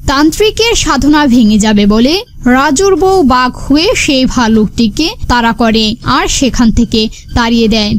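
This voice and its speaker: A woman's voice: sits high, expressive, moderate pace, slight background noise. A woman reading scripted narration for a cartoon folk story.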